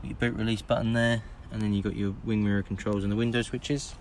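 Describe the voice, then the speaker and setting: A man's voice: fairly low pitch, fast. A man with an English accent, casually narrating a walkaround of a car on a dealer forecourt while filming on his phone. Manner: plain, relaxed commentary with repeated fillers. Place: outdoors on a used car forecourt, then inside the car. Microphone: phone camera held by the speaker. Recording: phone video with clear background noise.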